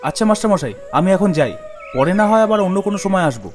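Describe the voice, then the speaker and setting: A man's voice: high voice, sing-song, very fast, voice pushed up. A man voicing a poor, earnest village farmer, a humble father, in a cartoon. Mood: respectful. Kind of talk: cartoon character acting. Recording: music behind the voice.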